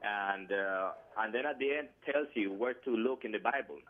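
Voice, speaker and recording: A man's voice: fairly low pitch, normal speed. A man from Colombia with a Colombian Spanish accent, calling in and talking hesitantly with many fillers and restarts. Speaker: caller. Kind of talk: phone call-in conversation. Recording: tinny phone line.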